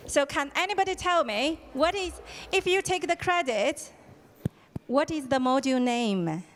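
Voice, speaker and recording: A woman's voice: high-pitched, lively intonation, measured pace, slight background noise. A woman organizing a program, giving an opening ceremony address with frequent hesitations and fillers. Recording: hall reverb.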